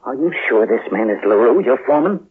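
An actor playing a cautious, skeptical doctor in an old-time radio horror drama, questioning everything in an anxious way. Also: male voice, mid-range voice, animated, fairly quick, breathy edge. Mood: alarmed, disbelieving. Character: cautious, skeptical doctor, uneasy companion on a monster hunt. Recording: muffled phone-like vintage radio recording.

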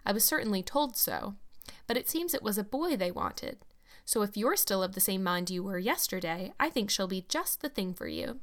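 A woman's voice: medium pitch, huge pitch swings. A woman reading aloud, voicing a bustling chatty middle-aged woman who fusses over her guests. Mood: explaining. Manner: fussy, quick to arrange everyone.

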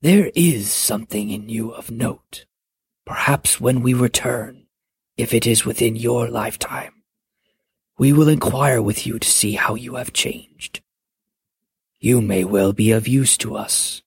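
Woman putting on a deep gravelly ancient dragon. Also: no echo, exaggerated voice. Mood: solemn. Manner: slow, commanding, measured. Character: huge ancient male dragon parent, stern. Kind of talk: audiobook character voice.

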